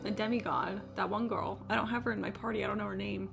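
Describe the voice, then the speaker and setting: A woman's voice: medium pitch, fairly even intonation, fast, creaky. A woman streamer giving casual live game commentary, joking and irreverent, swearing casually. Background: game music.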